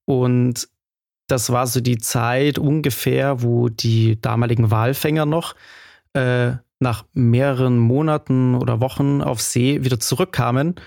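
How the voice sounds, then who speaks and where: A man's voice: medium pitch, no echo, clean studio sound. A man chatting casually as a podcast co-host, telling things in an informal, conversational way.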